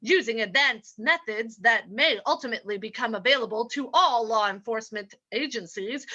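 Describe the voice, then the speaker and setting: A woman's voice: fairly high pitch, bright, forceful tone, muffled recording. A woman announcer hosting and narrating an old-time radio show reading.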